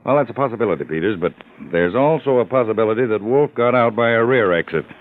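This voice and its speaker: A man's voice: medium pitch, animated, fast, lightly acted. An actor playing a level-headed male counter-spy chief in a 1940s radio drama. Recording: narrow old radio broadcast.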